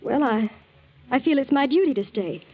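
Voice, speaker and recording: A lovelorn young woman speaks in a fairly high voice with earnest, melodramatic pleading. It is 1940s radio acting, on a dull old broadcast recording. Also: expressive, moderate pace. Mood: troubled, guarded. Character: lovelorn, dutiful young woman secretly worshipping a married man.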